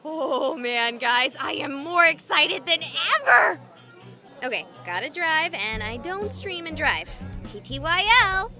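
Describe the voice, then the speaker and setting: A woman playing a goofy, excitable young livestreamer in a visual novel, chatting playfully with exaggerated enthusiasm. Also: high-pitched, takes it slowly, faint music behind, heavily acted. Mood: excited. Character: excitable, goofy young female livestreamer exploring haunted places.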